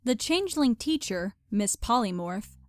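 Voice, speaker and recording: A woman's voice: fairly high pitch, sing-song, measured pace, no room sound. A woman reading scripted story narration.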